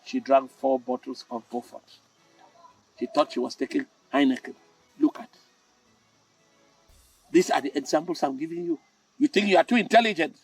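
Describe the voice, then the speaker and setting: An older man with a Cameroonian accent, a storytelling host who delivers tales and moral advice in a theatrical, emphatic way with dramatic pauses and repetition. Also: a little high, darkish tone, slightly rough. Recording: roomy, distant mic with music.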